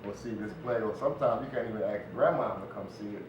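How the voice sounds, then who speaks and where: A man's voice: fairly low pitch, fast, lo-fi sound. A middle-aged American man talking casually and earnestly as an interview guest, dropping in frequent conversational asides. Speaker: interview guest, stage play writer. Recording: very echoey room, distant mic, noisy.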